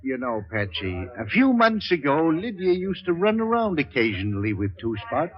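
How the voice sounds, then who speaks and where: An actor playing a shrewd, teasing, philosophical sidekick with a theatrical, musing delivery in a 1940s radio drama. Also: male voice, fairly high pitch, big pitch swings, normal speed. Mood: musing, insistent. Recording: dull old radio recording, barroom murmur behind.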